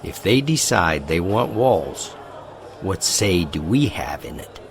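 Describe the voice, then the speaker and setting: An actor playing a commanding army general who speaks in a measured, authoritative way. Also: male voice, low voice, sing-song, crowd noise, heavily acted.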